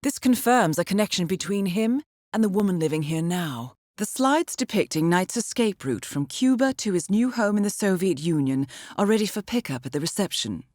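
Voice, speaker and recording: An actress playing a composed, dryly witty intelligence handler in a video game, briefing an assassin in a formal, understated, ironic manner. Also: female voice, medium pitch, animated, moderate pace, hardly any pauses, a little mellow, no echo, clean studio sound, natural voice. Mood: composed, businesslike. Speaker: mission handler.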